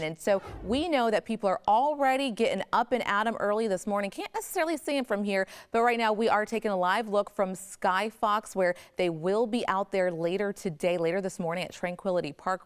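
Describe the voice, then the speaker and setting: A woman anchoring a local morning TV newscast in a studio, reading stories and interviewing a guest in a brisk, clear newscaster manner. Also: mid-range voice. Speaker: news anchor. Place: TV news studio.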